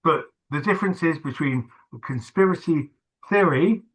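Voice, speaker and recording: A man's voice: on the high side, moderate pace, breathy edge, poor audio. A man hosting a casual conversation, hesitant with many fillers and restarts. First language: not English. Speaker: host. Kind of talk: casual video-call chat.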